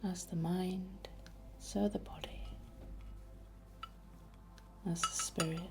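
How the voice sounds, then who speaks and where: A British woman whispering in a breathy, soothing, reassuring way, as an ASMR host leading a healing ritual. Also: lowish voice, fairly even intonation, unhurried. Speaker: ASMR host, spiritual healer.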